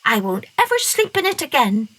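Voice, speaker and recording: A woman's voice: fairly high pitch, big pitch swings, moderate pace, fairly bright tone, breathy edge, pitched above the performer's own voice, heavily acted. A woman voicing a stubborn, restless little girl in a storybook reading, with a whiny, squealing manner. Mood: cross.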